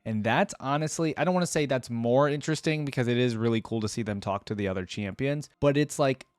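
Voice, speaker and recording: A man hosting a reaction video, giving casual, musing commentary in a halting way. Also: mid-range voice, no room sound, studio quality. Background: faint music.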